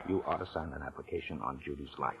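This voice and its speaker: A man's voice: very deep voice, quick pace, a bit bright, breathy edge, heavily acted. An American actor playing a fussy, pushy insurance salesman in 1940s radio drama, stammering, bumbling and repeating himself. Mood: flustered, pushy. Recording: dull 1940s radio recording.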